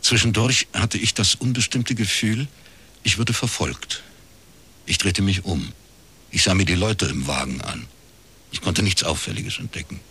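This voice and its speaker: A man's voice: low voice, sharp tone, slight background noise, full character voice. A man playing a cynical middle-aged private detective who narrates dryly and laconically in a radio crime drama. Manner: dry, laconic, short clipped sentences.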